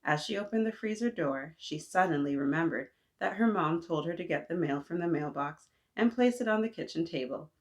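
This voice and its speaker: A woman's voice: lowish voice, expressive, fairly quick, hardly any pauses. A woman hosting a picture book read-aloud for young children, encouraging and sing-song, often putting questions to her listeners.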